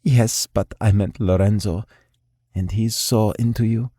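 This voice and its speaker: A man's voice: on the low side, steady tone, measured pace, dark tone, dry recording, studio quality. A man reading an audiobook, voicing a spirited, blunt young woman in a raised voice. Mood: teasing. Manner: insistent, blunt. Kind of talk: audiobook character voice.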